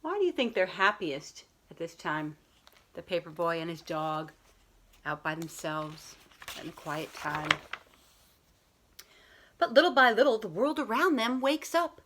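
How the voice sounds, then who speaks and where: A woman's voice: fairly low pitch, big pitch swings, a little slow, long pauses, fairly bright tone. A woman reading a picture book aloud as a school librarian, talking to young children in simple, explanatory phrasing.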